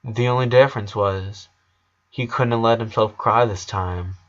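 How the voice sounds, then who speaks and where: A man's voice: mid-range voice, a little slow, a bit bright, highs cut off. A man reading scripted story narration as the narrator.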